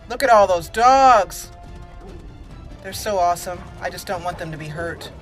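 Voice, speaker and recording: A woman's voice: on the low side, expressive, normal speed. A woman giving casual let's play commentary, chatty and thinking aloud as she plays. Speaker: gamer narrating a video game roleplay playthrough. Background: game music behind the voice.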